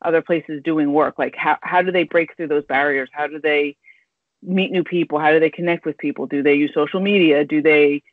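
A woman in her thirties hosting a podcast, thinking aloud in halting, rambling sentences over a video call. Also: low-pitched, fairly even intonation, dry recording. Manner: rambling, halting, full of restarts and fillers. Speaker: podcast host. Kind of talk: casual interview chat. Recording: tinny dry video call audio.

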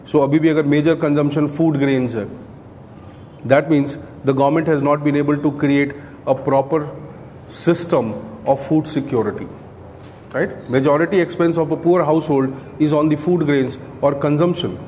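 A man's voice: fairly high pitch, fairly even intonation, moderate pace, mellow. A middle-aged man with an Indian accent giving a classroom lecture, explaining point by point and often checking in with "right?". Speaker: coaching lecturer. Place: indoor classroom, at a podium beside a screen. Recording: echoey room with clear background noise.

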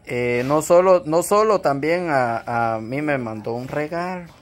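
A woman hosting a casual vlog on an outdoor patio, talking haltingly with lots of fillers. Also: deep voice, expressive. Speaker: vlog host. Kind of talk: casual chat with viewers.

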